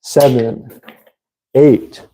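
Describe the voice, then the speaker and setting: A man with a congested voice, hosting a casual livestream and talking haltingly with many fillers. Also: mid-range voice, fairly even intonation, dark tone, rough recording. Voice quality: congested, nasal. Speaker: livestream host. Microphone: phone.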